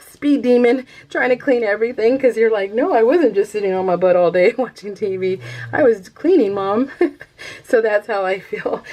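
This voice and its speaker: Chatty, rambling American woman vlogger. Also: mid-range voice. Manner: rambling, self-deprecating, giggly, often trailing off mid-thought. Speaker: craft vlogger. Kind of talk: casual chat to viewers while showing a craft project.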